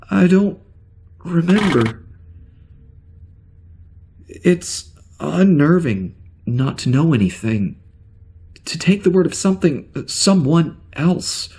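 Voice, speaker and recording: An actor playing a bewildered, weary spaceship security officer with amnesia in an audio drama, speaking in a halting, stammering way. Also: male voice, high-pitched, creaky, darkish tone, husky, exaggerated voice. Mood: distressed, lost. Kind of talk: audio drama log monologue.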